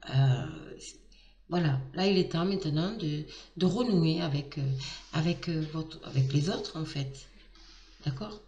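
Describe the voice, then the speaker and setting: A woman with a slightly hoarse voice talks her viewers through a card reading, warm and excitable, stumbling through restarts and fillers. She sits a little away from the mic, and the room rings around her. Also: low-pitched, reverberant, far from the mic. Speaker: tarot card reader. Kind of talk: casual astrology reading to camera.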